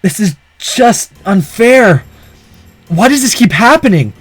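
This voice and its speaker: A man's voice: high-pitched, sing-song, slow, breathy edge, dry recording, soft background music, voice pushed up, heavily acted. A man voicing a hot-headed teenage boy hero in a visual novel, anguished, indignant and defiant. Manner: emotional, indignant outbursts.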